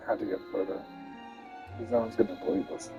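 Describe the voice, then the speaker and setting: A man's voice: on the low side, little pitch movement, brisk, muffled recording, over music. A man talking in a casual, off-the-cuff livestream chat style.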